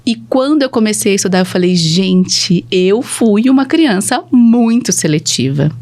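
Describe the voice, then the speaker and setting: A woman's voice: medium pitch, animated, moderate pace, a little mellow. Brazilian woman telling her story conversationally. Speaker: speech therapist, podcast guest. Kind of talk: casual interview, personal storytelling.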